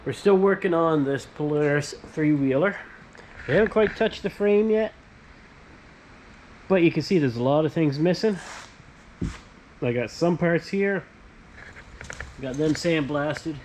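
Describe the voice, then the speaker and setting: Casual male tradesman presenter, slightly high-pitched, plain step-by-step delivery, background workshop noise. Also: unhurried, long pauses, darkish tone, poor audio. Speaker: host, powder coating tradesman.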